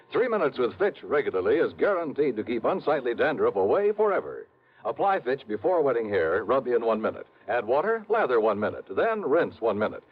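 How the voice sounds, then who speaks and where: Old time radio announcer guy, super animated pitch, selling stuff, tinny phone-like sound. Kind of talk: 1950s scripted radio announcing and ad read.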